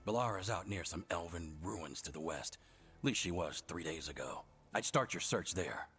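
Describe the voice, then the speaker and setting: A gruff elven scout leader in a fantasy game. The voice is very deep and heavily rasped. He talks with wry, grudging understatement. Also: animated, moderate pace, a bit bright, full character voice. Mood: matter-of-fact. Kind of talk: video game voice acting.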